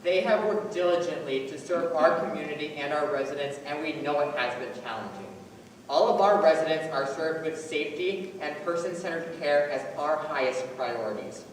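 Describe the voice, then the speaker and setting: A man's voice: on the high side, moderate pace, close to an everyday voice. Man playing a formal spokesman, cavernous echo. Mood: formal, measured. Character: formal care-company spokesman, measured and official. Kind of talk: scripted stage speech. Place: on stage in a large hall. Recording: echoey hall from far off, faint noise.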